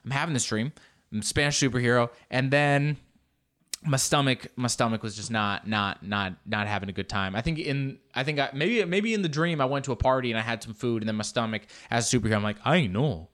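A man's voice: mid-range voice, fast. A man in his late twenties telling a comedic story in a rambling, casual way, swearing often. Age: 20s. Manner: rambling, animated, swears a lot.

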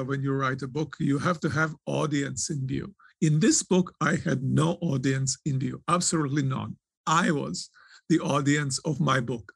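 A man, giving thoughtful, halting answers in an interview, often restarting his phrases as he searches for words. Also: on the high side, creaky, slightly rough, dry recording, clean studio sound. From Croatia. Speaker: author and theologian, interview guest.

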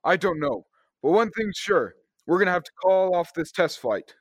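A young man playing an earnest, excitable space captain in a 1930s-style radio serial, exclamatory and emphatic. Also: sits high, normal speed, no echo. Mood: resolute. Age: young adult.

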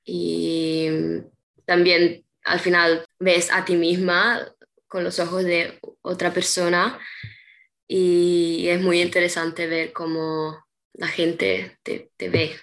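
A young woman from Peru talking slowly as an interview guest, often pausing and starting her sentences over. Her voice sits a little low and has a gravelly fry to it. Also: flat delivery, fairly bright tone. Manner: hesitant, many ums and restarts. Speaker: interview guest, artist's model. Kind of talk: casual interview chat.

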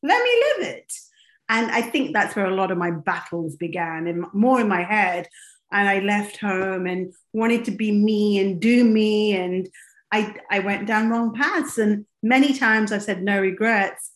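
A woman's voice: mid-range voice, expressive, measured pace. Earnest woman in an echoey room. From raised in England. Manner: earnest and open, speaks frankly. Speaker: life coach and podcaster, guest. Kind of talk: interview storytelling.

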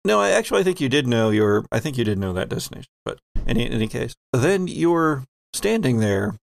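A man's voice: medium pitch, very animated, brisk, creaky, no room sound. A man casually narrating a tabletop roleplaying game as its game master.

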